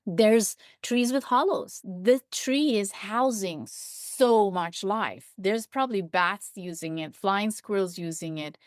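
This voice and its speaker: A woman's voice: mid-range voice, slow pace, few pauses, dry recording, clean studio sound. A woman speaking as an interview guest, explaining things in a thoughtful, descriptive and earnest conversational way, repeating points for emphasis. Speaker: interview guest, biologist and writer.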